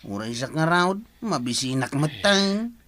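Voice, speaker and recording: A male actor playing an excitable, inquisitive young relative in a comic radio drama. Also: fairly high pitch, a little slow. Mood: hungry, reporting.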